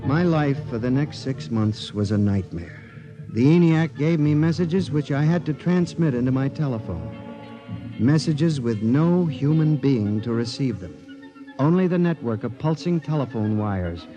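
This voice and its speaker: A man's voice: medium pitch, natural voice. A man playing a frantic haunted clerk. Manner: narrates then pleads in rising panic. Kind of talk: 1950s radio drama acting. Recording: dull old radio broadcast.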